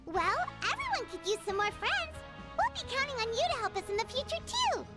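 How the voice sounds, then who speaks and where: A woman voicing a tiny cheerful childlike fairy companion in a video game, squeaky and eager, with music behind. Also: big pitch swings, a little fast. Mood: chipper. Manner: perky, eager, refers to self in third person.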